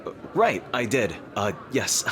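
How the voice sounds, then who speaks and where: A man playing a teasing rival king in an intimate dramatic roleplay, halting and smug yet easily flustered. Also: mid-range voice, big pitch swings, noisy background. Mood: polite, flustered. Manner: halting, teasing, with soft chuckles and pauses.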